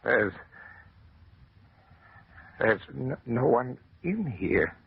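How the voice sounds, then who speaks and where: An actor playing a gruff, folksy small-town mayor with a gravelly, husky voice in 1940s radio horror style. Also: male voice, mid-range voice, very animated, very slow, heavily acted. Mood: alarmed. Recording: muffled old radio recording.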